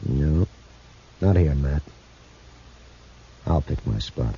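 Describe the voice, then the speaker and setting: A man's voice: extremely low pitch, animated, takes it slowly, dark tone, exaggerated voice. An actor playing a smug, menacing hired gunman who taunts sardonically in an old Western radio drama. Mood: menacing, taunting. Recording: muffled, hissy old radio recording.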